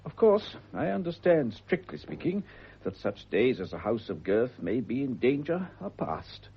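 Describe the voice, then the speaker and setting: An actor playing a courteous, ceremonial Crown official with a British accent in a radio drama, speaking in a formal, measured way. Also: male voice, mid-range voice, very animated, gravelly, highs cut off, slight background noise. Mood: formal, solemn.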